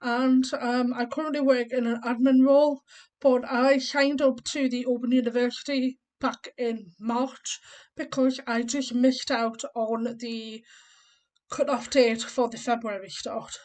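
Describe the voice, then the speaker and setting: A woman's voice: on the high side, steady tone, unhurried, darkish tone. A woman in her thirties who is deaf and whose speech sounds a bit different, explaining things step by step in a chatty, rambling way with frequent ums. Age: 30s. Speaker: vlogger. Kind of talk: casual explanatory vlog.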